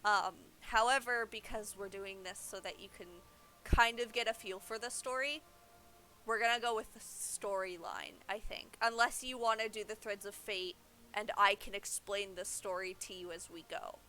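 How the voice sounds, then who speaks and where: A woman's voice: mid-range voice, steady tone, normal speed, bright, forceful tone, slight background noise. A young woman giving casual livestream game commentary, explaining things informally as she plays. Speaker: game streamer.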